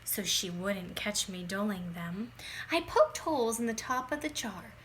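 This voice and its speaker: A woman reading a children's picture book aloud in an expressive, dramatic way, stretching words for emphasis and moving between hushed phrases and bursts. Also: medium pitch, a little slow, slight background noise, rough recording.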